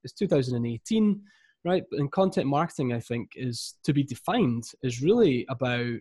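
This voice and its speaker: An upbeat Scottish man in his thirties speaks at an ordinary pitch for a man. The sound is dry and close, with no room echo behind his lively guest talk. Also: moderate pace, breathy edge. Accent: Scottish, east coast. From Scotland. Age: 30s. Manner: energetic, upbeat. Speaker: guest, marketing coach. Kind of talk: podcast interview.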